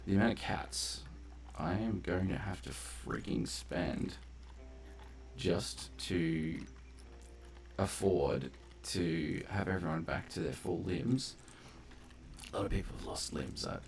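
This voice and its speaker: Very deep, creaky-voiced man commentating a game. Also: slight background noise. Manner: rambling, tangential, explains game mechanics in detail. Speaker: game streamer and narrator of a playthrough. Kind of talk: casual unscripted gameplay commentary.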